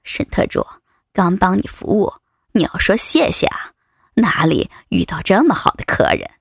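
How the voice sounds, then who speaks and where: Woman putting on a husky, domineering male executive. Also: medium pitch, lively intonation, normal speed, no echo, studio quality, pitched below the performer's own voice. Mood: smug, demanding. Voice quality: husky, creaky. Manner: mocking, commanding. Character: domineering, taunting male corporate vice president. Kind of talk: dramatized adult audiobook dialogue.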